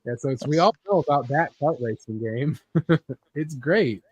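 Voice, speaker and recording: A man's voice: a little high, animated, moderate pace, a little mellow, husky, lo-fi sound. A man hosting a casual chat about gaming news, talking informally. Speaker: host.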